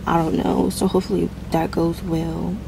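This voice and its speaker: Young American woman, lifestyle vlogger, casual chat, gravelly fry, faint ambient noise behind her. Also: fairly low pitch, level tone, normal speed. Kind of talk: casual vlog chat to viewers.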